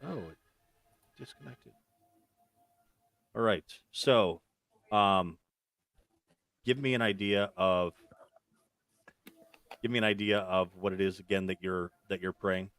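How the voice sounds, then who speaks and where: A man running a tabletop game as game master, narrating in a relaxed, unhurried way with fillers. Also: low voice, no room sound.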